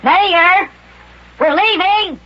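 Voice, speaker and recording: A man's voice: extremely high pitch, drawn-out words, exaggerated voice. A man voicing a small, boyish, grumbling and indignant elf in a 1950s children's radio serial. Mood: impatient. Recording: noisy, hissy, muffled old radio recording.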